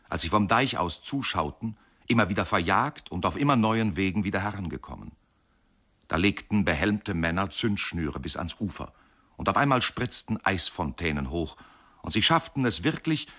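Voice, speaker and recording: Radio-play narrator: reflective man, low register, measured and expressive literary storytelling. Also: full character voice. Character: reflective male narrator recalling a riverside boyhood.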